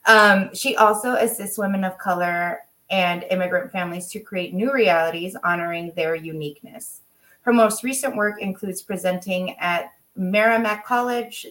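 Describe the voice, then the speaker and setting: An enthusiastic woman hosting a conversational podcast. She talks effusively, switching easily between English and Spanish phrases. Her delivery is full of excitement and small ums. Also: medium pitch, poor audio. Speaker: podcast host. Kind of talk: warm podcast interview, mixing in Spanish phrases.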